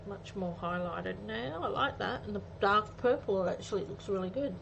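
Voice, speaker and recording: A woman's voice: medium pitch, moderate pace, gravelly. A woman in her forties chatting casually with viewers as she hosts a live craft demonstration, thinking aloud as she goes. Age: 40s. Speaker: craft livestream host. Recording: muffled audio with faint background noise.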